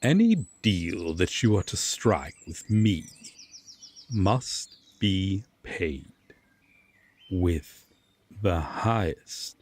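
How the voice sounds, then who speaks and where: A man playing a brooding, courteous Fae lord in a roleplay audio drama, guarded but reluctantly kind, speaking formally with dramatic pauses. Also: sits low, sing-song, darkish tone, exaggerated voice. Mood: grave, guarded.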